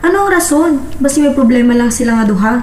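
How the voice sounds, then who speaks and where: A woman plays a chatty, blunt best friend in a radio play with a Western Visayan Filipino accent. She has a high young voice, recorded with strong room echo. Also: lively intonation, quick pace, darkish tone. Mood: curious, sympathetic. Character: gossipy, frank young female best friend. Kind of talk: amateur radio drama acting. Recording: roomy echo, faint background noise.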